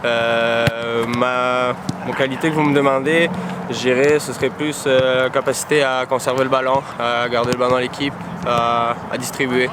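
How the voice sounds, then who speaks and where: A man's voice: medium pitch, flat delivery, normal speed. A man from France with a French accent, a soccer player answering interview questions outdoors with many hesitations and fillers. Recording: noisy outdoor background.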